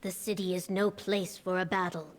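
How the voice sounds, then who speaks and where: A woman voicing a steadfast female lizard-folk legion commander in a video game, measured, solemn and formal. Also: on the low side, flat delivery, close to an everyday voice. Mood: grave, resolute. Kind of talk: video game character acting.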